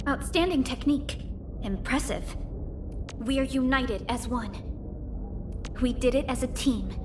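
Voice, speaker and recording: An actress playing a composed, earnest young supervisor of a sci-fi company in a video game, speaking formally and articulately in measured phrases. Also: female voice, a little high, background noise, lightly acted. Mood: firm, encouraging. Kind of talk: scripted video game character lines.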